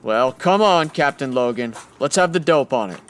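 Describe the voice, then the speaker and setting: Man acting tough-guy photographer, mid-pitched, clipped, confrontational, hard-boiled radio noir comedy, faint noise. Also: lively intonation, normal speed, fairly bright tone, close to an everyday voice. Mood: terse, skeptical. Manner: gruff talk, confrontational, clipped.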